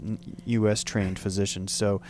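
A man's voice: lowish voice, level tone, normal speed, darkish tone. A man hosting an interview, talking at length with many fillers and restating his guest's points. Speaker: radio show host. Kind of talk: interview talk.

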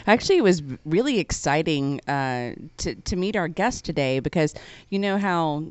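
A woman's voice: low voice, expressive, moderate pace, no room sound. A woman co-hosting a radio show, chatting casually and with enthusiasm. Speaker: radio co-host. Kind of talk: casual conversational radio chat.

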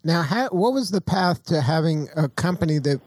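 A man's voice: on the high side, lively intonation, moderate pace, darkish tone, slight background noise. A man hosting a radio interview show in a studio, jokey and conversational, laughing easily.

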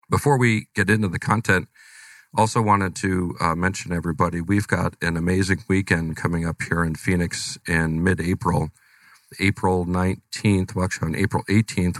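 A male radio host with a cold, talking in a relaxed, rambling way with many fillers in a studio. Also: low voice, dry recording. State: has a cold. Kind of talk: casual radio talk.

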